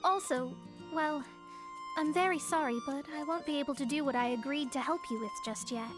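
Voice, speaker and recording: Actress doing haughty young anime astrologer gal, high voice, formal but flustered, music playing under it. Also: female voice, a little fast, close to an everyday voice. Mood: formal, grateful. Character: proud, flustered young female astrologer, dramatic and stiff. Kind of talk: anime game voice acting.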